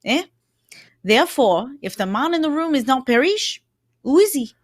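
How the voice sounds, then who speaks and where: Brief: woman as courteous, self-assured male sleuth, high voice, theatrical radio-play delivery, close dry sound. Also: very animated, normal speed, no echo. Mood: explaining, triumphant. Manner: theatrical, explanatory, punctuated with "eh?". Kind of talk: old-time radio mystery acting.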